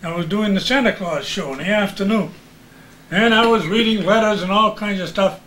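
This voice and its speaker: A man's voice: high voice, a little fast, fairly bright tone, rough recording. An older man, a veteran radio announcer, reminiscing in casual chat.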